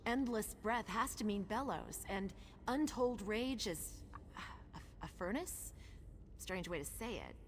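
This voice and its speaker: A woman's voice: mid-range voice, lively intonation, slow pace, a little hiss or hum. Determined orc woman in cavernous reverb. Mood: curious, puzzled. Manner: terse, dry, thinking aloud. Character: determined, impatient orc chief's daughter hunting ancestral relics. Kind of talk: video game character dialogue. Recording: long reverb, loud game music behind.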